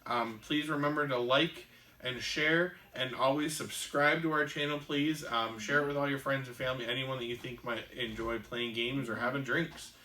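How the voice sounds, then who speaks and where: Guy hosting a board game show, bright voice, lots of ums and restarts. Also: mid-range voice, fairly even intonation, roomy sound, rough recording. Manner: halting, many ums and restarts. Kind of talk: casual rules explanation and chat.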